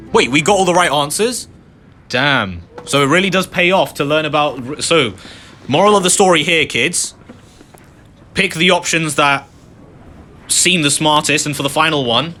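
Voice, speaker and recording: A man's voice: on the high side, expressive, a little slow, pauses often, sharp tone, faint music behind. A young man casually commenting on a game as he plays, chatty and rambling with many fillers. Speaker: gaming video host. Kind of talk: casual let's-play commentary.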